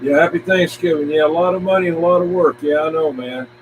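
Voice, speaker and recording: A man's voice: fairly high pitch, fairly even intonation, fast. A man chatting casually as host of a CB radio show, folksy and full of radio lingo. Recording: background noise.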